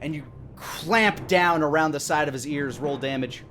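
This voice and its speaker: A man's voice: a little high, moderate pace, fairly bright tone. Man running a tabletop game over music. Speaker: game master. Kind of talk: improvised tabletop game narration. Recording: music playing behind the voice.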